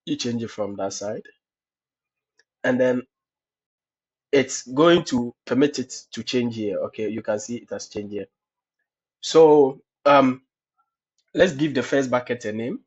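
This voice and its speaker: A man's voice: medium pitch, a little slow, long pauses, breathy edge. A man with a cold hosting a screen-recorded cloud lab tutorial, explaining step by step with many "okay, so" fillers. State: has a cold. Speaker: tutorial host. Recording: dull lo-fi screencast audio.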